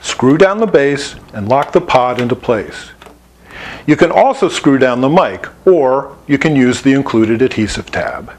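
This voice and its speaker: A man's voice: medium pitch, expressive, a little slow, darkish tone. A man giving a scripted instructional narration, explaining each step plainly as an instructor. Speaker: instructor presenting an installation tutorial.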